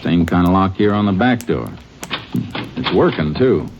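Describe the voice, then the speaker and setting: An actor playing a steady, methodical Texas Ranger in a 1950s radio drama, speaking in a calm, clipped, matter-of-fact way. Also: male voice, deep voice, moderate pace, over music. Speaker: Texas Ranger lead character. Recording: dull old radio broadcast, loud hiss.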